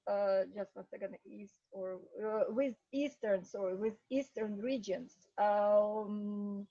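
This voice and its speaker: A woman's voice: medium pitch, slow, no room sound, lo-fi sound. Hesitant Ukrainian woman on a video call. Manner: hesitant, many fillers and soft laughs. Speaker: guest speaker.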